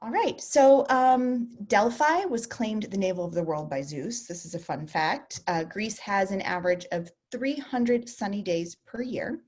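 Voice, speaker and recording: A woman's voice: mid-range voice, lively intonation, a little slow, few pauses, darkish tone. A woman who co-founded a travel company gives a casual presentation on a video call, talking in a chatty way with frequent ums and restarts. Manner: chatty, lots of ums and restarts, laughs easily.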